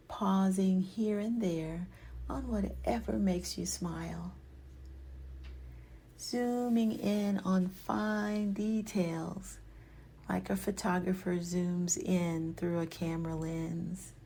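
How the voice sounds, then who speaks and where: A woman guides a meditation very slowly and gently. Her voice is soft and husky, with a creaky edge at times. She is soothing and hushed, often letting phrases fade into a whisper. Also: medium pitch. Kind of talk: guided yoga nidra meditation.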